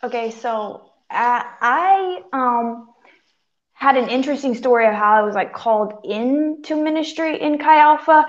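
A woman's voice: high voice, measured pace, lo-fi sound. A woman on a video call, beginning her story hesitantly with fillers. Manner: hesitant start, filler words. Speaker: campus ministry missionary. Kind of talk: casual storytelling on a panel.

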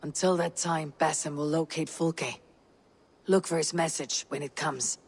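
An actress playing a stern, battle-hardened Viking warrior woman in a video game, speaking gravely and deliberately with a low, gruff, husky voice. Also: steady tone, exaggerated voice. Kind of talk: video game dramatic acting.

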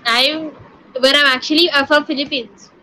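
A young woman with an Indian English accent chatting casually on a video call, soft-spoken, dry and amused. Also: high-pitched, fairly even intonation, normal speed, a little hiss or hum, low-quality recording.

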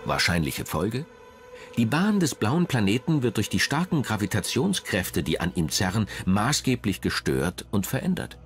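Man, documentary narration, low voice, lively intonation, measured dramatic delivery, music behind. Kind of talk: scripted narration.

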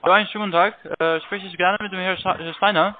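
A man making a scam phone call, halting with ums and broken grammar. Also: sits high, steady tone. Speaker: scam caller. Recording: tinny phone line.

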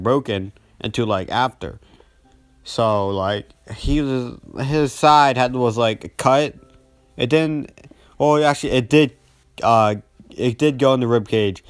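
Young male gaming storyteller, mid-pitched, slangy and rambling, dry close recording. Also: no echo. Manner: rambling, heavy slang, animated retelling with mimicked dialogue. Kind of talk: casual storytelling over gameplay, slangy.

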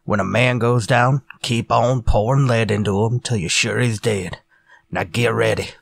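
An actor playing a ruthless, menacing outlaw gang boss in a radio western, with a gravelly, raspy voice and commanding manner. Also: male voice, on the low side, steady tone, moderate pace. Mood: cold, menacing. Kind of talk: old-time radio western acting.

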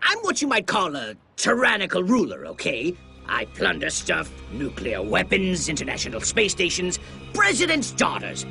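A man's voice: sits high, wildly swooping pitch, moderate pace, creaky, over music, full character voice. A man voicing a smug, flamboyant cartoon tyrant who talks in a boastful way. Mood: smug boasting. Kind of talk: cartoon character acting.

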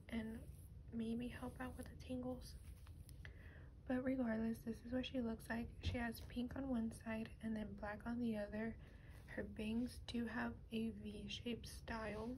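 A woman speaking softly and breathily, often close to a whisper, in a gentle, casual ASMR show-and-tell. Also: on the high side. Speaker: doll ASMR video creator. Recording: clear background noise, lo-fi ambience.

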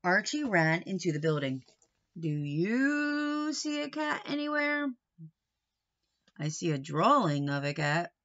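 Slow, very animated woman reading a picture book. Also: on the low side, lo-fi sound. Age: middle-aged. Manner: animated, slow, questioning asides to young listeners. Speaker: storybook reader. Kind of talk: expressive children's picture book read-aloud. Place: small wood-panelled room indoors.

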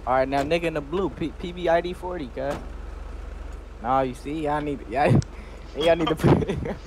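Higher-voiced young man, profane slangy gamer banter. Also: moderate pace, darkish tone, a little hiss or hum. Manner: profane, repetitive phrasing. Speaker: streamer. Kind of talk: online game roleplay banter, street slang. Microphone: headset.

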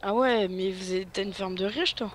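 Guest co-player, medium pitch, playful rambling chatter, faint hiss on the line. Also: normal speed. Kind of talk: casual co-op gameplay chat.

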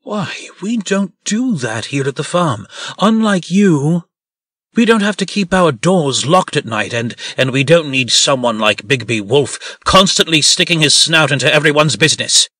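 A man's voice: on the high side, animated, normal speed, bright, forceful tone, dry recording, studio quality. A man voicing a belligerent, self-important talking pig in a comic dub, with a pompous, indignant manner. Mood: defiant. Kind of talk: comic dub character acting.

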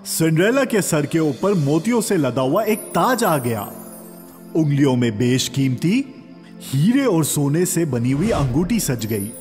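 Male children's storyteller, fairly high voice, lively intonation, scripted fairy-tale narration. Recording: long reverb, music underneath.

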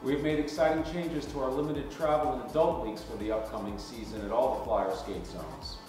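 A man co-hosting a scripted promo video, announcing in a measured way. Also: mid-range voice, a little mellow, faint music behind. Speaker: co-host. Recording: echoey room from far off.

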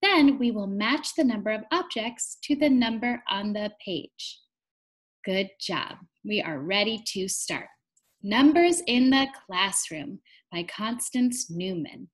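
A young woman reading aloud to small children in an encouraging, sing-song teacher's manner, guiding them along deliberately. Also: mid-range voice, lively intonation. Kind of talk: interactive children's read-aloud lesson.

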